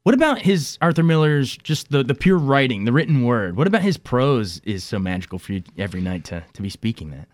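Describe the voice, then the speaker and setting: A man hosting an interview, upbeat and quick to laugh, asking casual questions. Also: mid-range voice, very animated, dry recording. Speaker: interviewer host. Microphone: studio mic.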